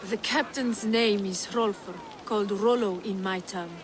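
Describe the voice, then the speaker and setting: A woman's voice: medium pitch, unhurried, background noise, lightly acted. An actress playing a poised, bitter noblewoman in a video game, speaking in arch, dry, elegant phrases. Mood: brisk, scheming. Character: poised, bitter Frankish noblewoman, unhappy wife. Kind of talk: video game cutscene acting. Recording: long hall reverb, prominent music.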